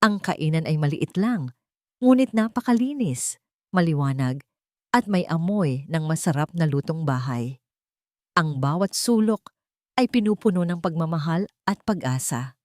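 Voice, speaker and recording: A woman's voice: deep voice, animated, a little slow, a little mellow, dry recording, clean studio sound. A woman reading a scripted romantic story as a dramatic narrator.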